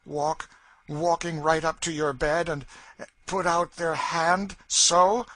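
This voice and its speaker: A man voicing a cold, mocking woman who taunts her cruel master, in a slow, sneering, eerie way. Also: on the high side, little pitch movement, fairly bright tone, slight background noise, voice pushed up. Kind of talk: audiobook character voice.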